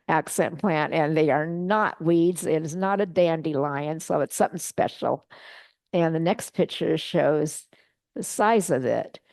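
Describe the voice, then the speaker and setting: A middle-aged woman giving a relaxed, chatty slide-show talk to a club, with many fillers and restarts. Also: low-pitched, dry recording. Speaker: guest presenter at a bonsai club meeting.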